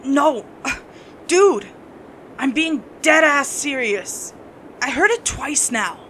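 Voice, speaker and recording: A woman's voice: high voice, expressive, slow, fairly bright tone, pitched above the performer's own voice, full character voice. Lady acting a fed up, spooked young woman on a ghost hunt, sarcastic, noisy recording. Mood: indignant. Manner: exasperated, sarcastic.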